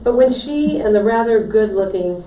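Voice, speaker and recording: Woman reading a poem out loud, soft dark tone, bit far from mic. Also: mid-range voice, lively intonation, moderate pace. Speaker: poetry reader. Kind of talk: reading a poem aloud to an audience. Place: indoor library poetry reading. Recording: echoey room, distant mic, faint noise.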